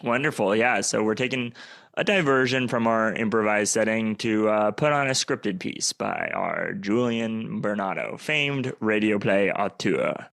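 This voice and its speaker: A male podcast host speaks with a creaky voice in a dry, close recording. He banters in a playfully grand announcing style. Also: on the low side, big pitch swings, unhurried, fairly bright tone, no room sound. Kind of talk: mock-grand hosting banter.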